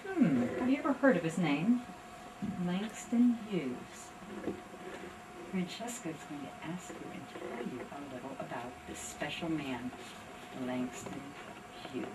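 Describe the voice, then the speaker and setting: A woman's voice: sits low, expressive, measured pace. A woman introducing a live library program to an audience, explaining in short phrases and questions. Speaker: conservatory director introducing the program. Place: library event room. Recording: distant mic, loud room noise.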